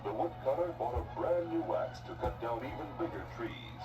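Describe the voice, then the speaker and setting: A man's voice: mid-range voice, moderate pace. A man narrating a scripted children's fairy tale as the storyteller. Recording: distant mic, loud music behind.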